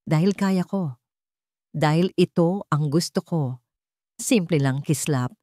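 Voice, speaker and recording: A woman's voice: sits low, slow, warm tone, no room sound, clean studio sound. A woman with a Filipino accent voicing a cruel, smug husband in a story, sneering and taunting. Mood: mocking, smug.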